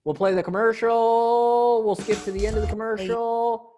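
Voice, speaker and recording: A woman's voice: a little high, steady tone, moderate pace, mellow, soft background music. A woman hosting and narrating a mystery reading in a theatrical, exclamatory style. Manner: dramatic, exclamatory. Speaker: narrator and host.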